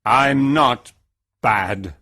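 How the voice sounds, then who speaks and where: A huge, stern beast growls out slow, heavy threats in a storybook reading, as a reader puts him on. The voice drops well below an ordinary speaking voice and hits the key words hard. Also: mid-range voice, pushed and bright, pitched below the performer's own voice, full character voice. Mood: gruff. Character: huge angry male beast, stern and menacing.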